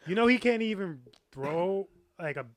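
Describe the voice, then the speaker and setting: A man's voice: high-pitched, lively intonation, normal speed, no room sound, clean studio sound. An American man co-hosting a podcast, debating casually with slangy, teasing interjections. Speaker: podcast co-host.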